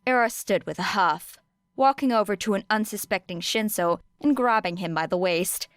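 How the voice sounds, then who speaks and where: A woman's voice: mid-range voice, moderate pace, clear, resonant tone, dry recording, studio quality. A woman reading scripted fiction aloud as an audiobook narrator. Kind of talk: scripted story narration with character voices.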